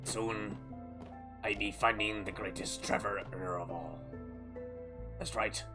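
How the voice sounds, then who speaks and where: A man voicing a gruff, boastful male pirate captain in heavy pirate dialect, over background music. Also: on the low side, steady tone. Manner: pirate dialect, drawn-out growls. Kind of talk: gaming video role-play.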